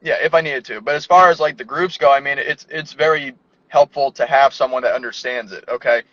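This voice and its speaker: A man's voice: medium pitch, steady tone, brisk, fairly bright tone. A man speaking as a guest in a casual chat, loose and chatty, filling his speech with "like". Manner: loose, chatty, heavy use of "like". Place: inside a parked car. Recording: dry, dull lo-fi sound in a car.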